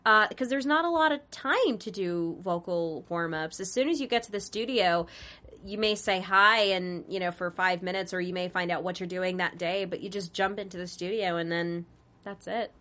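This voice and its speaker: Brief: young woman guest, bright forceful tone, laughs easily, rambles and restarts. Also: fairly low pitch, moderate pace. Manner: giggly, self-deprecating, rambling with restarts. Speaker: voice actress guest. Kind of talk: casual interview chat.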